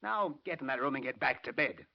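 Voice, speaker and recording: A man's voice: mid-range voice, expressive, fairly quick, vocal fry. An actor with an American accent playing a bossy, fatherly newspaper chief in an old radio drama, brash and slangy. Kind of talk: 1940s-50s radio acting. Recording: muffled old radio recording.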